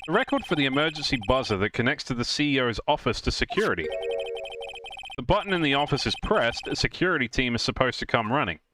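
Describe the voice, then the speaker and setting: A man's voice: medium pitch, very animated, fast, noisy background, close to an everyday voice. A man voicing an earnest, dogged young defense attorney in a live fan dub of a courtroom video game, arguing emphatically. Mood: firm, arguing. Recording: music behind the voice. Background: game music.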